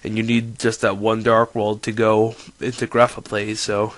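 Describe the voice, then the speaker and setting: A man's voice: on the low side, monotone, normal speed, no echo. Stuffed-up young man explaining cards. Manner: rambling, self-correcting. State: stuffy nose from a cold. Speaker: card game player. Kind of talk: casual deck explanation.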